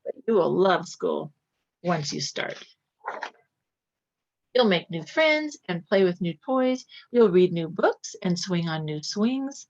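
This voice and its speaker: A woman voicing a gentle, loving mother raccoon in a storybook, soothing and reassuring. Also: on the low side, very animated, takes it slowly, no echo, lo-fi sound, heavily acted. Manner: soothing, tender.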